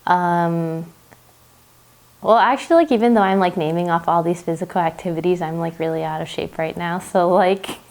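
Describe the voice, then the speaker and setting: A woman's voice: lowish voice, normal speed, a little mellow. A young woman, a college student, chatting casually and a little self-consciously in a documentary interview. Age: young adult.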